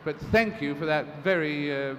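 A man's voice: a little high, normal speed. A man moderating a press conference, speaking formally and measuredly, with frequent restarts and fillers. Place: large assembly hall. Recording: reverberant hall, faint noise.

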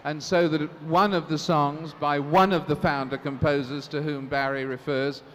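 A man's voice: a little high, level tone, moderate pace. A man moderating a press conference, speaking formally and measuredly, with frequent restarts and fillers. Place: large assembly hall. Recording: reverberant hall, faint noise.

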